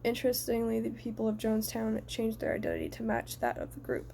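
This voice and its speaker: A young woman from the United States giving a prepared personal reflection in a measured, earnest way. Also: fairly high pitch, big pitch swings, creaky, warm tone, slight background noise.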